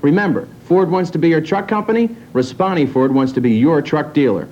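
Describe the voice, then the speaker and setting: A man's voice: fairly high pitch, a little fast, a little mellow. A man reading a scripted local car dealer commercial in 1970s announcer style. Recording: dull, noisy old TV tape.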